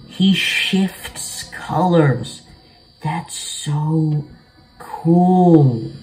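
A man's voice: on the high side, mellow, poor audio. A young man giving casual, slangy game commentary, talking to his viewers in a laid-back way from a bedroom. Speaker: gaming video host. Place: small bedroom, indoors. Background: music.

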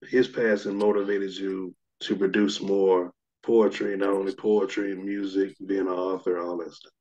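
A man in his thirties hosting an interview over video call, asking questions in a calm, measured way. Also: deep voice. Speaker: podcast host. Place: small room indoors. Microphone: desk microphone. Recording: dull, lo-fi sound.

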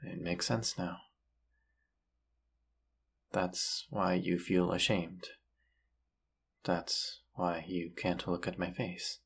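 Deep gravelly vampire hunter boyfriend played by a guy, slow n tender, audio roleplay. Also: little pitch movement, a bit bright, full character voice. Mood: subdued, consoling. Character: gentle, forgiving reborn male vampire hunter and lover. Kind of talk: intimate audio roleplay acting.

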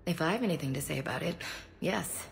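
An American actress playing a young soldier in a video game, subdued and weary, hesitant and understated. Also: female voice, sits low, lively intonation, fast talker, creaky. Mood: subdued, unsure. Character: young female human soldier, subdued, grieving, dutiful. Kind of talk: naturalistic game dialogue acting. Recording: game music behind dialogue.

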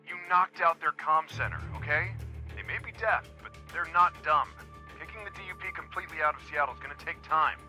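A man's voice: on the high side, expressive, brisk, sharp tone, soft background music. An actor playing a sensible, cautious American man in a video game, reasoning with exasperation. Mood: cautioning. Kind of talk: video game cutscene acting.

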